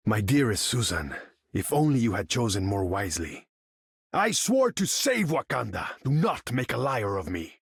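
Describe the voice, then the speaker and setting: An actor playing a proud, imperious undersea king in a video game, speaking formally and pompously with a husky edge. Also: medium pitch, dry recording, clean studio sound, full character voice. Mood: smooth longing, then stern. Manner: pompous, formal, grandiose, speaks of himself in third person. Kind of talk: video game character voice lines.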